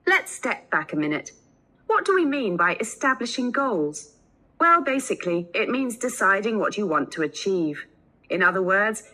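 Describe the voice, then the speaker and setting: A woman delivering a scripted student lecture in an instructional manner, with measured phrasing and clear reading. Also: medium pitch, bright, forceful tone, low-quality recording. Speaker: lecturer in a listening test recording.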